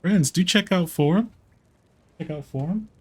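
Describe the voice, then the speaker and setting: A man chatting casually on a gameplay livestream in a halting way, often repeating his phrases. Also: high voice, husky. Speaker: live streamer, VTuber. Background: game music.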